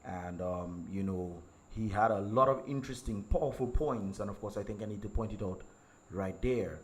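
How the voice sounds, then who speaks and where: Hoarse man, low voice. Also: normal speed. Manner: rambling, heavy with ums and repeated words. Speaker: livestream host and singer. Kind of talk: casual livestream commentary.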